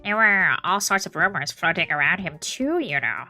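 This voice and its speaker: A woman voicing a chatty young gossip of a man, airy and bright, tumbling up and down in pitch. The reading is casual and easygoing, like a streamer playing through a visual novel. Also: sing-song, moderate pace, soft background music, exaggerated voice. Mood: breezy.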